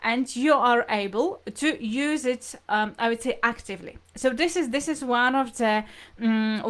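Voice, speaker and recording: A woman hosting an informal live lesson, explaining things to viewers in a hesitant, repetitive way. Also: fairly high pitch. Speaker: language teacher hosting livestream lesson.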